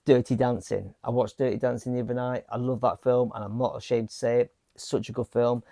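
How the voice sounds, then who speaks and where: A man chatting casually in a home vlog, his voice croaky from a lingering cough, rambling with plenty of fillers and restarts. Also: mid-range voice, fairly even intonation, a little fast, dark tone, no room sound, clean studio sound. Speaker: vlogger. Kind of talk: casual chat. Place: home game room. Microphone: small handheld mic.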